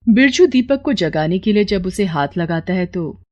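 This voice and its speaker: A woman's voice: on the low side, animated, moderate pace, a little mellow, no room sound. Slightly dull-sounding woman narrating a story. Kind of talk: scripted moral story narration.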